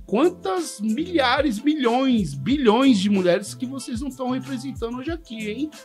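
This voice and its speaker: A man's voice: extremely high pitch, expressive, measured pace, soft background music. A Brazilian man hosting a podcast, asking questions in a playful, teasing way. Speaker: podcast host. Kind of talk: casual podcast interview.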